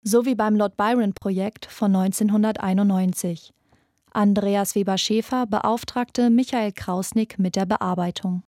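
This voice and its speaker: A woman narrates a scripted documentary podcast. Her voice is soft-toned and mellow at an average pitch, recorded close and dry. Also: steady tone, unhurried, no room sound.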